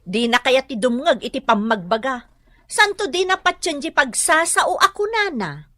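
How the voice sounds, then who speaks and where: An actress with an Ilocano accent playing a disapproving village gossip in a radio drama. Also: female voice, sits high, lively intonation, normal speed, sharp tone, no room sound.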